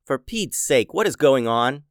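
A man voicing an impatient, grumbling ninja ally in a kids' audio story, gruff and hurried, with a scratchy voice. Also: mid-range voice, expressive, no echo, studio quality, natural voice.

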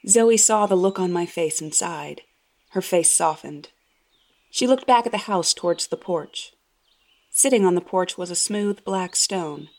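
A woman narrating scripted first-person horror fiction in a measured, expressive storytelling style. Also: on the low side.

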